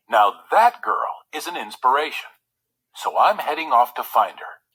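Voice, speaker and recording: A man voicing an adventurous young woman's storybook letter, gentle, frank and apologetic in manner. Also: medium pitch, creaky, husky, heavily acted, low-quality recording. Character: adventurous young woman, kind but resolute, reading her letter.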